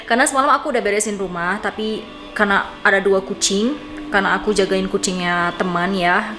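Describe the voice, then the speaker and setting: A woman talks to her viewers as a vlogger. Her voice sits at an ordinary female pitch and her delivery is informal, rambling and giggly. Faint music plays behind her. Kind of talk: casual chat to viewers.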